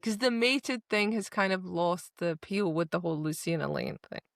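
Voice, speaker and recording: A woman's voice: on the low side, brisk, vocal fry, dry recording, clean studio sound. A woman podcast co-host casually retelling book scenes, slipping into quoted dialogue and repeating words for emphasis. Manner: chatty, acts out quoted dialogue.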